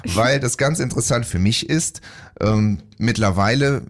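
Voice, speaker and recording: A man hosting a radio music show in the studio, asking a guest questions in a measured, friendly way. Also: mid-range voice. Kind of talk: radio interview.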